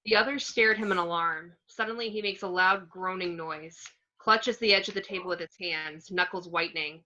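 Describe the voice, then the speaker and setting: A woman's voice: fairly low pitch, brisk, sharp tone. A woman narrating screenplay action descriptions in an amateur table read, on muffled lo-fi audio.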